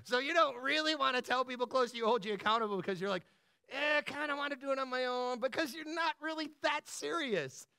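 A man preaching as a pastor in a conversational, motivational style, colloquial and animated, often checking in with the listeners. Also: extremely high pitch, fast, gravelly, clean tone, no echo. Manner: animated, colloquial, punctuates points with "right?" and "bro".

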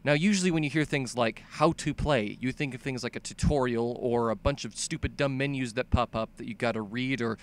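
A man's voice: mid-range voice, a little fast, few pauses, clear, resonant tone. A young man narrating a comedic scripted video essay about games in an exaggerated, sweary, ranting manner. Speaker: video game commentator.

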